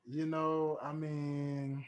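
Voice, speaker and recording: A man hosting a casual podcast, talking in a smooth, laid-back radio-style way and often adding "you know what I'm saying.". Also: a little high, monotone, extremely slow. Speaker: podcast host. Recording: music playing underneath.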